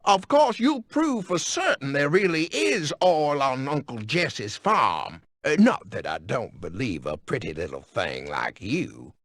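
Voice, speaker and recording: An actor playing a pompous, scheming, sly old county boss with a Southern American accent and a wheedling manner. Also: male voice, mid-range voice, big pitch swings, unhurried, hardly any pauses, a bit bright, heavily acted.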